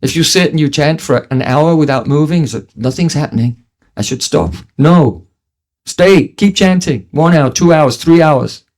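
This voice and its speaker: A man's voice: a little high, expressive, normal speed, a little mellow. Earnest man giving a devotional lecture. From New Zealand. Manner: earnest teaching tone, frequent restarts, chanted Sanskrit verses. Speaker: spiritual teacher.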